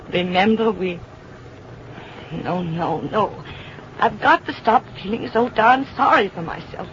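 A woman's voice: fairly high pitch, natural voice. A woman acting in an old-time radio drama as a sardonic, plucky American schoolteacher, theatrical and wry in her delivery. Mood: wistful, wry. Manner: theatrical, wry, talking to herself. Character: sardonic, plucky American schoolteacher driving alone, shaken but defiant. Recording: dull, noisy old radio recording.

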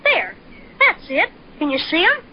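A woman playing an eager, excitable young boy. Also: extremely high pitch, measured pace, full character voice. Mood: excited. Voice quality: excitable, exclaiming. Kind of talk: 1930s radio drama acting. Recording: dull old radio transcription with faint hiss.

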